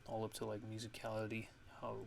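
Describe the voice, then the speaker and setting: Male producer, lowish gravelly voice, casual ASMR tutorial delivery, halting and thinking aloud, noisy recording. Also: completely flat delivery. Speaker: music producer giving a tutorial.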